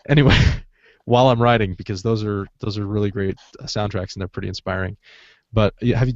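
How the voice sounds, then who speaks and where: Chatty man, lowish voice, dull audio. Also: darkish tone, dry recording. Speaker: podcast co-host. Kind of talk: casual chat about books and films.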